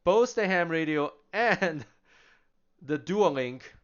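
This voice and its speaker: A man casually demonstrating radio gear, chatty and animated, laughing now and then as he explains. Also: on the high side, slow, clear, resonant tone, dull audio. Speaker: product demonstrator. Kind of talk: casual product demo.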